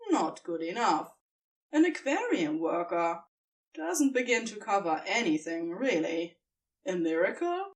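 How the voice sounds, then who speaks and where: A woman narrating fan fiction as an expressive scripted audiobook reading. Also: medium pitch, unhurried, far from the mic, low-quality recording.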